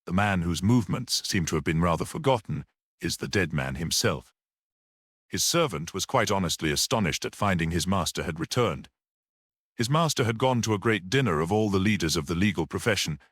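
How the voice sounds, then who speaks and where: Storybook priest-detective, read by a man: mild, perceptive, unruffled, low-pitched with lively movement, dry close sound. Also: no echo. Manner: grave, measured, unruffled, almost dreamy.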